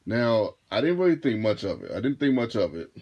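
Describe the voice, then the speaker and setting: A deep-voiced man in his thirties telling a casual storytime to his viewers, rambling and restarting his phrases as he goes. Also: very animated, fairly quick, highs cut off. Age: 30s. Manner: laid-back, rambling, repeats and restarts phrases. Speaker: vlogger and rideshare driver.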